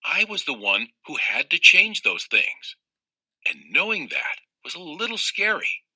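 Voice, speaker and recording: A man reading a children's novel aloud in an expressive storytelling style. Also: fairly high pitch, measured pace, breathy edge, no echo, low-quality recording. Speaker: audiobook reader of a children's novel.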